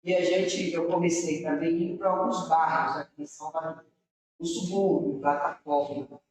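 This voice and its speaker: A woman's voice: low-pitched, steady tone, moderate pace, darkish tone. A woman from interior Bahia, a city councilor guest telling her story to young people in an earnest, rambling way. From interior of Bahia, Brazil. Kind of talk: casual storytelling to a young audience. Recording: very echoey room, far from mic.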